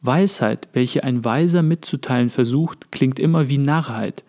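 A calm male sage from a read-aloud novel, voiced by a man in his own gravelly voice. He speaks in measured, contemplative phrases, earnest and steady. His tone stays at an ordinary middle height. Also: mid-range voice, close to an everyday voice. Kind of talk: read-aloud literary dialogue.

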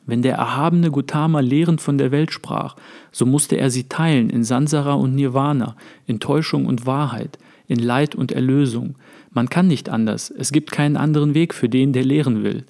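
A calm male sage from a read-aloud novel, voiced by a man in his own gravelly voice. He speaks in measured, contemplative phrases, earnest and steady. His tone stays at an ordinary middle height. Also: mid-range voice, lightly acted. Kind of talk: read-aloud literary dialogue.

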